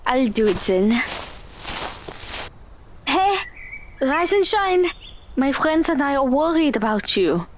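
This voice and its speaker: A woman's voice: high-pitched, sing-song, slow, pauses often, background noise, full character voice. A woman playing an outspoken, forthright teenage girl in an audio drama. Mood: brisk.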